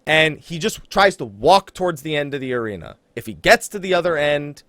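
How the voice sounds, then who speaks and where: A man with a fairly high voice hosts a casual gaming podcast. His pitch moves around a lot as he explains and teases with emphasis, and the sound is close and dry. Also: expressive, brisk, a bit bright, dry recording. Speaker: podcast host.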